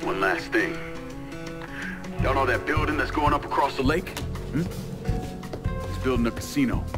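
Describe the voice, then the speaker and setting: A man's voice: medium pitch, animated, normal speed, natural voice. A man playing a blunt, commanding, vengeful young war veteran turned gang leader in a video game, with an American accent. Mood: firm, calculating. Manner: blunt, authoritative, profane. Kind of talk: video game cutscene acting. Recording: game dialogue over clear background music.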